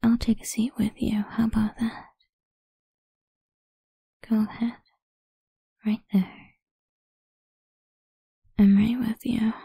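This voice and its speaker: A woman playing a possessive, seductive goth vampire idol in an intimate ASMR roleplay, breathy and husky, often whispering, slow and teasing, close on the mic. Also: on the high side, little pitch movement, mellow, no room sound, heavily acted. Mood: coaxing, seductive. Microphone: close studio-style microphone, dry.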